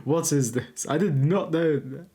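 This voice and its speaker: Man reacting with loud disbelief. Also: on the high side, measured pace. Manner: reactive, exclaims in disbelief, laughs often. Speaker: podcast co-host. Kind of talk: casual chat.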